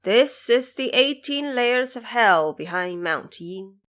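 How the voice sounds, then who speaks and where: Solemn judge of the dead, played by a woman. Audio drama, deliberate and formal, unhurried. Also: a little high, lively intonation, fairly bright tone. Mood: grave, warning. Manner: formal, grave, deliberate recitation. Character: solemn male underworld judge guiding an emperor.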